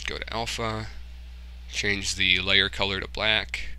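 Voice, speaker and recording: A man giving a casual screen-recorded software tutorial, informally thinking aloud and muttering to himself as he works. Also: sits low, level tone, slow pace, clear, resonant tone. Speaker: tutorial host, hobbyist game developer.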